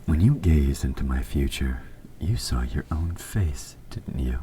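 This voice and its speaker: A man playing an evil, possessive king in an audio roleplay, speaking formally and with measured, quiet menace, his S sounds sharp. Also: a bass voice, animated, slight background noise. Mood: quietly menacing. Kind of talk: scripted audio roleplay, intimate dramatic monologue.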